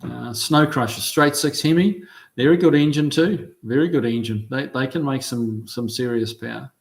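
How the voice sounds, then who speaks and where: A middle-aged New Zealand man talks through viewer comments on his livestream in an easygoing, halting way. He trips over his words and restarts often. His voice sits in an ordinary middle pitch for a man. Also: gravelly. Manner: relaxed, halting with many ums and restarts. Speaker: livestream host reading viewer chat. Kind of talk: casual chat.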